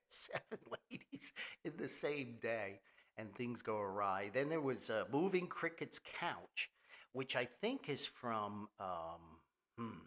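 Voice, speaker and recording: An older man hosting a podcast, rambling casually in a folksy, halting, storytelling way. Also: lowish voice, sharp tone. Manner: chuckles often, halting, folksy. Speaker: podcast host and author.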